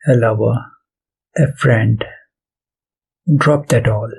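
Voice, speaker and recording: A man leading a guided meditation as its host, speaking softly and deliberately in short phrases with pauses between them. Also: medium pitch, vocal fry, breathy edge, low-quality recording. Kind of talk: guided meditation, soft spoken instruction. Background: background music.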